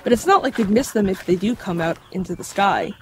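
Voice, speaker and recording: A woman playing a bookish, cautious young folklore expert in an audio drama, talking in a measured, explanatory way. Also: mid-range voice, lively intonation, a little hiss or hum, lightly acted. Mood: thoughtful.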